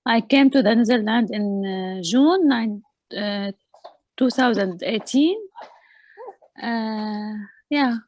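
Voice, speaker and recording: Higher-pitched woman from Syria, dry sound. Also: takes it slowly, darkish tone, dry recording. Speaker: project team member. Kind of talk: informal meeting introduction.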